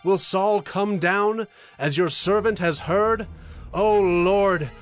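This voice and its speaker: Casting: devout young leader of warriors, earnest and solemn, high voice, Bible audio drama. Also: male voice, takes it slowly, soft background music. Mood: pleading, devout.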